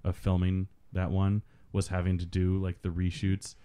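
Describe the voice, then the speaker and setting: A man's voice: deep voice, completely flat delivery, normal speed, mellow, clean tone. A man co-hosting a casual film commentary, joking and riffing with friends. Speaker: podcast co-host.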